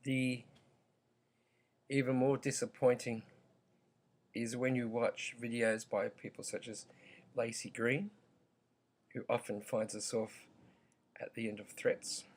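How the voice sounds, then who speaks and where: A man with a croaky voice, giving his view in a slow, halting, earnest vlog full of pauses and ums. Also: medium pitch, flat delivery. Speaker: vlogger. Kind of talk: opinion vlog.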